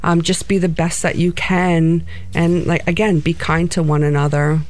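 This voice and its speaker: A woman's voice: lowish voice, little pitch movement, normal speed, darkish tone. A middle-aged woman from New Jersey with a New Jersey accent, answering interview questions in an earnest way. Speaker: guest, co-owner of flight center.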